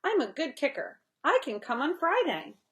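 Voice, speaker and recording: Woman reading as friendly, animated young schoolboy. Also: high-pitched, normal speed, pitched above the performer's own voice. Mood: confident. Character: friendly young schoolboy, easygoing new kid. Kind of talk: picture-book read-aloud.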